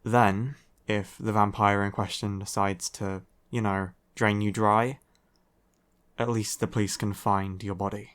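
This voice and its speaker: Guy doing a grumpy vampire boy for asmr roleplay, real slow and smooth, sarcastic and fed up. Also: on the low side, level tone, a bit bright. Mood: sardonic, incredulous. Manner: sardonic, sighing, curt. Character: grumpy young male vampire, weary and exasperated. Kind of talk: roleplay audio acting, ASMR.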